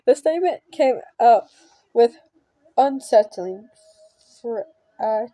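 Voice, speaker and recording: A woman hosting a game channel reads a visual novel aloud with casual commentary. Her voice is slightly high and mellow, and she goes slowly, repeating words as she stumbles, with a giggly manner. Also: animated, dry recording, soft background music, low-quality recording. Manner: halting reading, stumbling over and repeating words. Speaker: gaming channel host.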